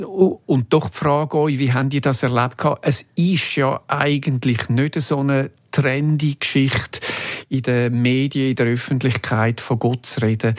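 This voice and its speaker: A man hosting a conversational interview, putting his reflective questions in halting, wordy phrases. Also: mid-range voice, dry recording, clean studio sound. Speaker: interviewer, host.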